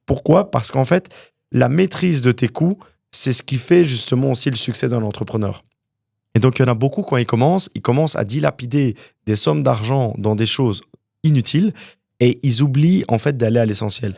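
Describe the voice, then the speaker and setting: Gravelly young man telling fast stories. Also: medium pitch, dry recording, clean studio sound. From Canada. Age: young adult. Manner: animated, fast storytelling with many fillers. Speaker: guest entrepreneur. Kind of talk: casual interview storytelling.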